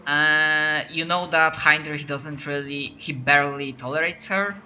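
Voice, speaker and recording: A man's voice: a little high, steady tone, unhurried, sharp tone, muffled recording, a little hiss or hum. A man from Poland with a Polish accent, narrating as game master and often stopping to restart his sentences. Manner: hesitant, lots of restarts.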